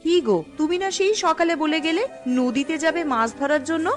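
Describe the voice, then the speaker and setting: High-voiced, fretful village mother. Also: animated, a little fast, faint music behind. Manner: exasperated, nagging. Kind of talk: Bengali cartoon dialogue.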